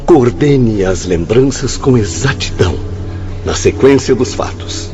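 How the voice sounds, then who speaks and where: A man's voice: mid-range voice, expressive, measured pace. An actor playing a grave, reassuring yet commanding male spirit guide in a radio drama. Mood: solemn, commanding. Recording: muffled audio with music underneath.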